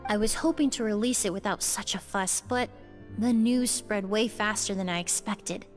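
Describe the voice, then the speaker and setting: A woman voicing a young perfumer in a game, composed, measured and reflective. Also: mid-range voice, soft background music, lightly acted. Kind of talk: game voice acting.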